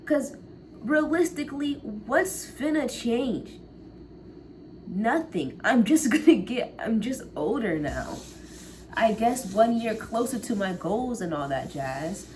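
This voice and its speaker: A woman's voice: on the high side, lively intonation, slow pace, a little mellow. A woman in her late teens chatting casually to the camera in a rambling way, often laughing and trailing off. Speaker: college vlogger. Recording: noticeable background noise.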